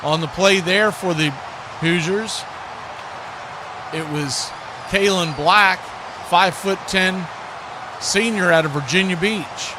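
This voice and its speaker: A man's voice: high voice, takes it slowly, pauses often. A man calling live American football play-by-play in short, clipped phrases on a live stream. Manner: clipped phrases, raises voice on big plays. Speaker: play-by-play commentator. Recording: noisy live stream with background noise.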